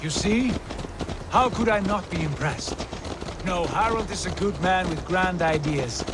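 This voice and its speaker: High-voiced Norse warrior prince, noisy surroundings. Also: male voice, unhurried. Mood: reflective, admiring. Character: proud Norse warrior prince, reflective and wry. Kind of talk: video game cutscene acting. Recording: heavy outdoor background noise.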